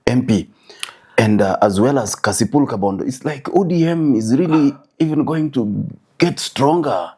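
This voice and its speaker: Mellow Kenyan interviewer, husky voice. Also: male voice, medium pitch, animated, moderate pace. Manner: probing, hesitant with fillers. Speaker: interviewer, show host. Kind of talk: probing interview questions.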